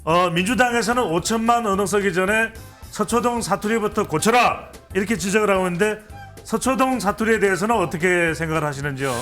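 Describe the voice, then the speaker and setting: Male co-host, brisk and ribbing, high voice. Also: a bit bright, faint music behind. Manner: ribbing, rapid-fire questions. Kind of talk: hosting a political talk show.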